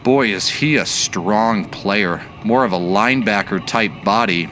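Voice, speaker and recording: A male baseball announcer with a fairly low voice calls the game in a measured, analytical way. His play-by-play sits over a steady bed of outdoor ambient noise. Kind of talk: sports play-by-play commentary.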